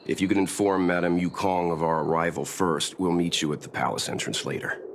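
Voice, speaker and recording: Deep, gravelly, prudent mentor. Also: male voice, steady tone, a little hiss or hum. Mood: calm, reassuring. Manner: measured, reasoned, reassuring. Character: calm, prudent middle-aged mentor, guiding younger companions. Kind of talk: video game voice acting. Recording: music behind the voice.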